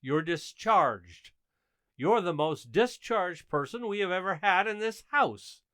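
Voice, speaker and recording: Man playing exasperated husband and father, lively intonation, dry close sound, mannerly, halting, old-time radio comedy. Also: high voice, clean tone, no echo, full character voice.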